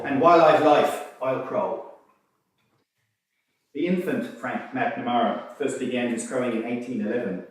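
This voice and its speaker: A man giving a scripted historical narration in a measured, literary, wryly understated manner. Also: fairly low pitch, a little mellow. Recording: distant, very echoey room.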